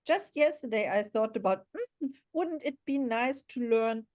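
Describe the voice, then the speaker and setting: German-native woman host, higher voice, eager and encouraging interviewer. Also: animated, unhurried, a little mellow. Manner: enthusiastic, encouraging. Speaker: podcast host. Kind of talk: podcast interview.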